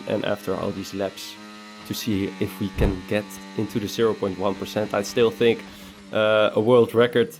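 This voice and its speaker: A relaxed man narrates his game footage in a low, slightly congested voice, since he has a cold. He chats easily and leans on filler sounds. Soft music plays quietly behind him. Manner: laid-back, chatty, frequent "uh" fillers. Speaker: racing game content creator. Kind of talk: casual gameplay commentary voice-over.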